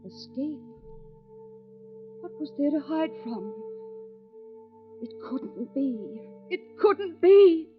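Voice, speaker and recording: An actress playing a frightened, suspicious wife who tells her own dark tale in 1940s radio mystery style, with anguished dramatic pauses. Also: sits high, expressive. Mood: brooding dread. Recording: dull old radio recording, music behind. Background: dramatic music.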